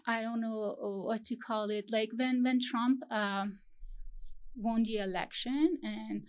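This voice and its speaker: A young woman from Iran, talking as an interview guest, halting and searching for her words with many fillers. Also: a little high, steady tone. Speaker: guest, PhD student. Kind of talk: interview conversation.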